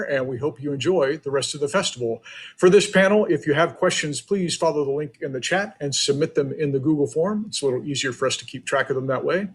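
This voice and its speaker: A man giving a prepared welcome and announcements as host of a panel. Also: fairly high pitch. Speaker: festival president introducing a panel.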